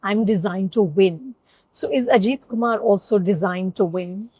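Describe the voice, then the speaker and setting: Woman, interviewer, mid pitch, dry close sound, short prompting questions. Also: moderate pace, a little mellow, slightly rough, no echo.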